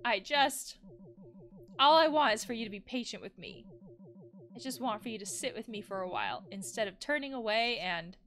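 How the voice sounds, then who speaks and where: An anxious guard wolf from a video game, acted out by a woman, nags and pleads with melodrama. The voice is bright and high, swinging widely in pitch. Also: lively intonation, normal speed. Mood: desperate, pleading. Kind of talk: game dialogue read aloud.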